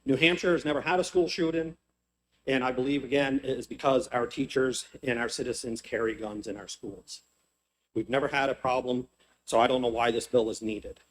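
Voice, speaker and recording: A man's voice: mid-range voice, fairly even intonation, fairly quick, fairly bright tone, low-quality recording. Emphatic man testifying at a hearing. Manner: emphatic, insistent, pleading. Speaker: former state representative testifying. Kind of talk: public testimony at a legislative hearing.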